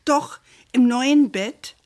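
A woman's voice: high voice, drawn-out words, darkish tone. A woman playing a doting, affectionate old great-grandmother in a German children's radio play. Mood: fond. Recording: music under the voice.

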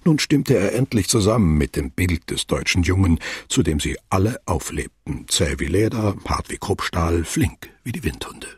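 An actor playing a sober narrator, reading literary radio drama narration in a measured, matter-of-fact way. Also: male voice, low-pitched, expressive, husky, no room sound, studio quality, heavily acted. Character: sober male narrator of a biography.

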